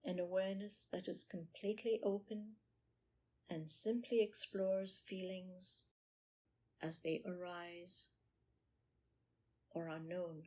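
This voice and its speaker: A woman meditation teacher leading a guided meditation in short, measured phrases with pauses between them. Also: fairly low pitch, steady tone, darkish tone.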